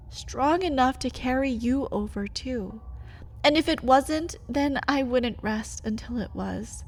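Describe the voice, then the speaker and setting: Caged vampire lover , played by a woman: unhurried, husky-breathy, intimate ASMR delivery, pleading with a bitter edge. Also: on the high side. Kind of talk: ASMR roleplay.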